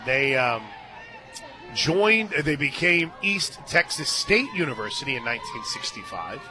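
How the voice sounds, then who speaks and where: An American man calling a softball game on radio, chatting in a folksy, conversational way and punching up each pitch. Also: very animated, unhurried. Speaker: play-by-play announcer. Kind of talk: live softball radio commentary with casual banter. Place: stadium radio booth. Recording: outdoor stadium crowd noise behind broadcast.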